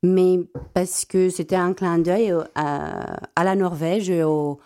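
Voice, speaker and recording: A young South African woman whose first language is Afrikaans, a classical singer answering radio interview questions in a halting way with many repeated words. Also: on the low side, little pitch movement, warm tone.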